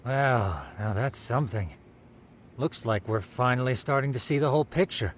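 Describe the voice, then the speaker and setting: A man's voice: mid-range voice, expressive, normal speed, a little hiss or hum, close to an everyday voice. An actor playing a brainy, easygoing male sidekick, polite and wry, in an English game dub. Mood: thoughtful. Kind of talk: English dub video game drama acting.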